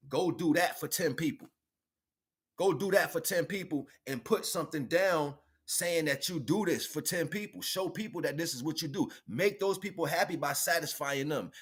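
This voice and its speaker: A man's voice: sits high, a bit bright, slightly rough. Man preaching self-worth in an improvised monologue. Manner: preaching, emphatic repetition, colloquial phrasing. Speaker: livestream host, motivational speaker. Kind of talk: impassioned improvised motivational monologue.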